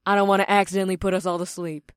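A man's voice: high voice, flat delivery, racing, smooth, dry recording, pitched above the performer's own voice, close to an everyday voice. A man voicing a sarcastic rhino-like woman warrior in an audiobook, in a dry, close recording.

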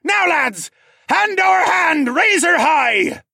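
A man's voice: extremely high pitch, expressive, slow, vocal fry, sharp tone, no echo, studio quality, pitched above the performer's own voice, full character voice. Man playing a roaring raccoon pirate captain. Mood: defiant, manic. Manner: bellowed, urgent nautical orders. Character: commanding, wild old-salt raccoon pirate captain.

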